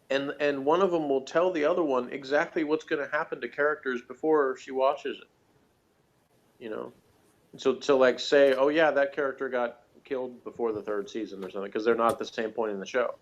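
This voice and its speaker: A man's voice: mid-range voice, highs cut off. A man co-hosting a TV review show in casual discussion, explaining things in a rambling way.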